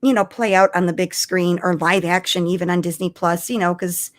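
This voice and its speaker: A woman's voice: fairly low pitch, steady tone, a little fast. A woman hosting a casual live fan discussion, talking informally. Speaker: live stream host.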